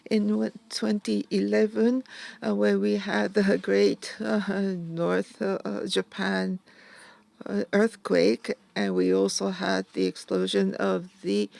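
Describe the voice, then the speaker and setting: Interpreter at a museum talk, very slow and halting, heavy vocal fry. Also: mid-range voice, darkish tone. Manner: halting, with an "uh" before nearly every phrase.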